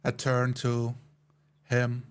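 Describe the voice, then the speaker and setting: A man playing a tabletop game, narrating his character's actions in a measured, deliberate, dramatic way. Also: medium pitch, monotone, a little hiss or hum.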